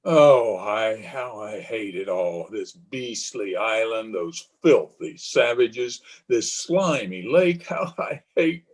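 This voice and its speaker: Philandering husband, played by a man, bitter and weak-willed: husky voice, widely swinging intonation, old-time radio melodrama. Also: lowish voice, lively intonation, takes it slowly, few pauses. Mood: irritable, bitter. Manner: melodramatic, agitated. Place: remote recording over Zoom.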